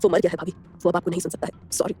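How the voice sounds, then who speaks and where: A woman's voice: medium pitch, racing, mellow, husky, faint music behind, voice pushed down, natural voice. A woman doing a smirking gangster sidekick. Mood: cool.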